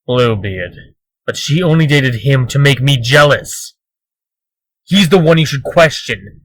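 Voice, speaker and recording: A man's voice: mid-range voice, expressive, a little slow, a bit bright, dry recording, poor audio. A man playing a cocky, defensive trickster under questioning, glib and self-justifying, in a comic dub. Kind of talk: comic dub dramatic acting.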